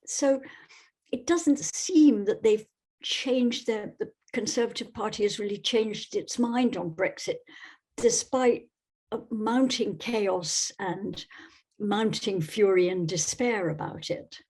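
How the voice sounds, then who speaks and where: A woman from Scotland speaks as an interview guest in a husky voice. She takes her time, picking through her answers in a measured, wry manner. Her pitch sits in a middle range. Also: a little mellow, rough recording. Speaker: guest novelist.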